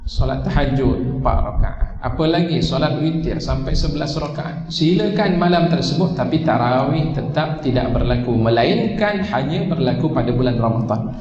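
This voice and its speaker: A man with a Malaysian Malay accent giving a lively religious lecture, asking the audience questions and answering them himself. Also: medium pitch, moderate pace. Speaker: preacher. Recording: echoey hall, dull lo-fi sound with noise.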